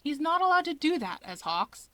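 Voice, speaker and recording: A woman reading fan fiction aloud as an expressive storyteller. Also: high voice, moderate pace, no room sound. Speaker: narrator.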